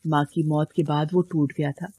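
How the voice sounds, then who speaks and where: A woman's voice: sits low, little pitch movement, moderate pace, dark tone, husky, no echo. A woman reading a scripted dramatic story as its narrator.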